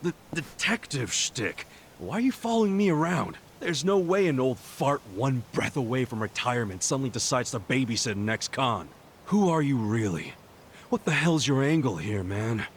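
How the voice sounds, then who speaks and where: A brash hot-tempered ex-con. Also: male voice, mid-range voice, very animated, moderate pace, a little hiss or hum. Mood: irritated. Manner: gruff, curt, defensive. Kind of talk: dubbed game cutscene acting.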